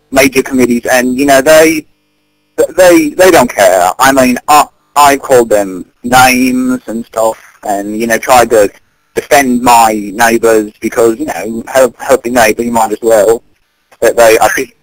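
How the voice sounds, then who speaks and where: A man playing an earnest, concerned British neighbour on a prank phone call, speaking haltingly and repeating his words. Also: mid-range voice, dry recording, natural voice.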